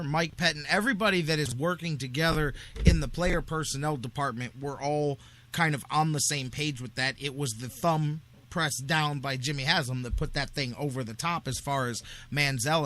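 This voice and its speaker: Bright, forceful higher-voiced man talking sports. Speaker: sports radio host. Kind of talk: opinionated sports talk.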